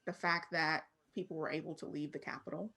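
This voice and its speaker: A woman from Texas with an American accent speaks in a fairly low voice. She talks casually as an interview guest, candid and informal in how she puts things. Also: steady tone, a little fast, pushed and bright, slightly rough. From Fort Worth, Texas, United States. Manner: candid, informal, frequently laughing. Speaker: interview guest, creative professional.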